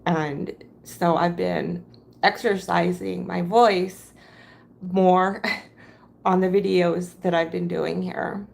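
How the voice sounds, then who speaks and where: A hoarse woman hosting a casual crafting livestream, talking in a halting, rambling way. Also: lowish voice, steady tone, a little mellow.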